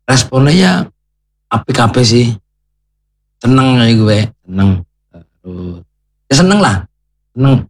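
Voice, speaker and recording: A man's voice: mid-range voice, animated, takes it slowly, pauses often. A man with a Banyumasan Javanese Ngapak accent, a guest content creator in a casual interview, chatty and repetitive, laughing often. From Banjarnegara, Central Java.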